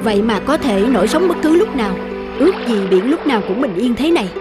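A woman's voice: fairly high pitch, lively intonation, fast, loud music behind the voice, lightly acted. A woman voicing a curious, imaginative young male sailor in a cartoon, with an eager, wondering manner. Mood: wistful.

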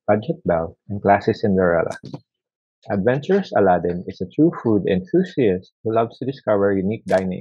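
Guy reading project slides, low raspy voice, dull laptop mic sound. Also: no room sound. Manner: measured reading with small restarts. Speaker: student presenter. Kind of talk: scripted project presentation.

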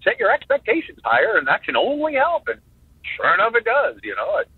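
A man in his fifties, a guest in an interview, talking in a jokey, animated way with many halting restarts over a phone line. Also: very high voice, slightly rough, no room sound. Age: 50s. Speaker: guest drummer. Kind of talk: casual interview talk.

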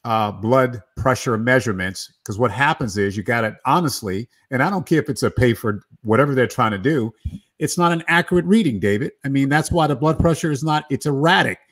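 A middle-aged man moderating a live video-call panel, animated and addressing each panelist by name. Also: medium pitch, a little fast. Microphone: video call webcam with desk microphone.

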